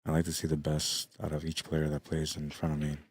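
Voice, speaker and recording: A middle-aged man commentating a pool match in a hushed, unhurried voice with a very deep bass, tired late in the day. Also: completely flat delivery, a little hiss or hum. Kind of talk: quiet live sports commentary. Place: pool hall during a tournament.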